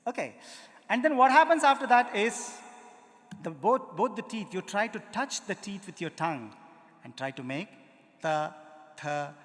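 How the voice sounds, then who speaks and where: A man with an Indian accent lectures an audience, his voice sitting high for a man. He demonstrates rhythm syllables aloud and keeps asking the listeners questions. His words ring with the long reverb of a big hall. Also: animated, normal speed, fairly bright tone. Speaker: music lecturer. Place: large auditorium.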